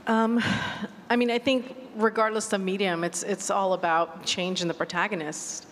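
A woman's voice: medium pitch, steady tone, moderate pace, fairly bright tone. A woman speaking as a panelist, giving her view in a discussion. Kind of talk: panel discussion, brief answer. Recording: echoey hall with faint room noise.

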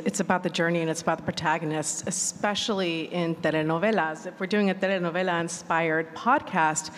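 A woman explaining her ideas casually as a writer on a panel. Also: fairly low pitch. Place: large reverberant hall at a live panel. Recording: echoey hall reverb.

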